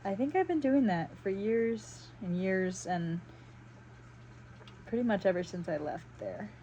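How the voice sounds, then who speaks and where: A woman's voice: mid-range voice, animated, measured pace, warm tone, a little hiss or hum, poor audio. A woman in her thirties from South Carolina with a faint Southern US accent, chatting casually and reflectively while she paints, with many fillers. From South Carolina, United States. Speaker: vlogger. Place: home on Maui.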